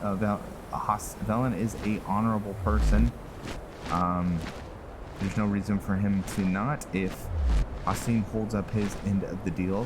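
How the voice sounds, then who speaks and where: A man giving casual commentary on his own video game playthrough, halting as he thinks aloud. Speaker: gaming video host narrating own playthrough. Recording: heavy background noise, rumbling game ambience.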